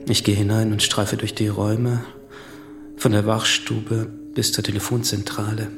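An actor playing a solitary, reflective last man on earth in a radio drama, brooding and measured, with music behind him. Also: lowish voice, level tone, natural voice. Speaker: actor narrating a radio play. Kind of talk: literary radio drama monologue.

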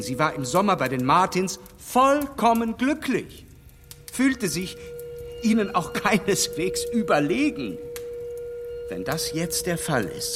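An actor playing a stern gentleman who lectures a young woman in a radio play, speaking firmly and reproachfully. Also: on the high side, in a large hall. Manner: firm, reproachful, emphatic.

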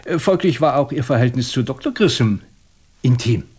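An actor playing a shrewd, composed police inspector in a German radio crime drama, questioning methodically with dry superiority. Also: male voice, mid-range voice, animated, normal speed, darkish tone, breathy edge. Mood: matter-of-fact questioning. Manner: methodical, probing, dryly superior.

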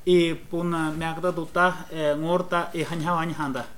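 A man interpreting a sermon phrase by phrase, quick and hesitant, with fillers. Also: a little high, level tone, a bit bright, a little hiss or hum.

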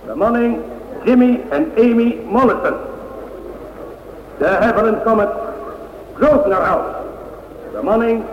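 A man playing a formal air race official who speaks in a measured, declamatory, ceremonial way in a radio play. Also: very high voice. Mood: solemn, ceremonial. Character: formal race official announcing starters and giving speeches. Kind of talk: radio play public announcement. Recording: echoing, dull, slightly noisy old radio recording.

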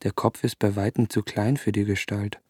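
An actor voicing a musing, fascinated observer in a literary radio play, reflective, measured and intense. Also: male voice, on the low side, level tone, darkish tone, slightly rough, dry recording, studio quality.

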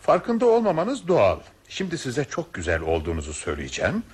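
An actor playing a self-assured, courteous merchant in a radio drama, teasing and flirtatious. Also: male voice, mid-range voice, wildly swooping pitch, a little fast, very noisy.

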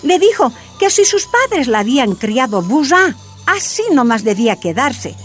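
Radio drama lead: determined Bolivian miners' woman, frank, high voice, very expressive swings. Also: moderate pace. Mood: firm, earnest. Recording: music underneath.

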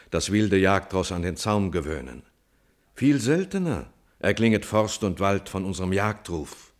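An actor playing a prince's loyal older mentor, gently probing in measured, declamatory verse in a classical radio tragedy. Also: male voice, sits low, exaggerated voice.

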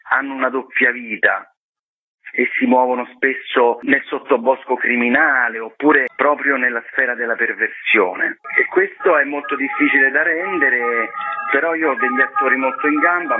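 A man's voice: mid-range voice, breathy edge. Man on a tinny phone line. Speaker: theatre director and translator, interviewed guest. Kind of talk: radio interview, explaining a stage production. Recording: phone-like narrow band radio call.

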